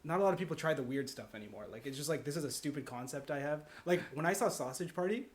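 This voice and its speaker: A man with a slightly high voice talks very quickly on a podcast panel. He rambles through his opinions in a casual, chatty way, checking in with his listeners as he goes. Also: animated. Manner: rambling, peppered with "like" and "right?".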